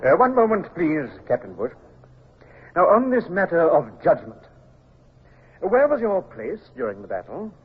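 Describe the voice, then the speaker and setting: An actor playing a formal, officious British court examiner, questioning in a radio drama. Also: male voice, on the high side, big pitch swings, measured pace, pauses often, husky, heavily acted. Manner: officious, interrupting. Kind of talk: 1950s radio drama acting. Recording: tinny old radio recording.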